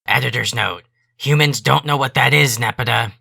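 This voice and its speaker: A man playing a grouchy, foul-mouthed alien troll editor in a fan audio drama, curt and exasperated, with a gravelly voice. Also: medium pitch, normal speed, pushed and bright, full character voice. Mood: grudging annoyance. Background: music.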